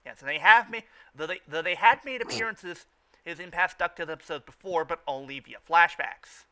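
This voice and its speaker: Bright, high-pitched man stammering through fan commentary. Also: fairly quick, rough recording. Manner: stammering, often repeats phrases. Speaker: host of a fan riffing show.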